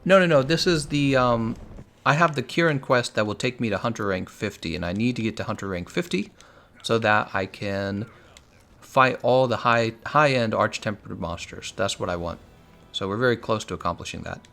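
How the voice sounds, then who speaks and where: A man's voice: on the low side, normal speed, creaky, a bit bright. A young man with an American accent, chatting casually on a game stream, answering viewers and laughing often. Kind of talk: casual live-stream commentary.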